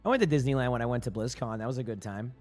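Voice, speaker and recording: A male streamer chatting casually with his live chat, his voice hoarse and rambling with many restarts. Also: medium pitch, animated, rapid-fire, a little mellow, soft background music.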